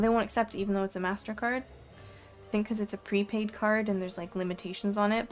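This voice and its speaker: A young woman chatting casually during a live art stream at home, rambling and self-deprecating as she sets up. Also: mid-range voice. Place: home room in Japan.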